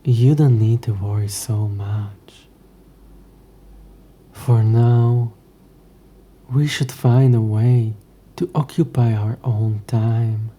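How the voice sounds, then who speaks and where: A man's voice: mid-range voice, fairly even intonation, drawn-out words, pauses often, mellow. A man playing an ancient, possessive demon in an intimate audio roleplay, speaking to the listener in a formal, wry, murmuring way. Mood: reassuring. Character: ancient possessive male demon, sardonic, curious, teasing.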